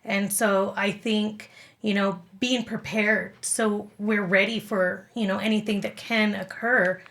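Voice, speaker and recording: A woman speaking casually as a club guest in an interview, earnest and a little halting. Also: mid-range voice, little pitch movement, creaky, a bit bright. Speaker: amateur radio club guest.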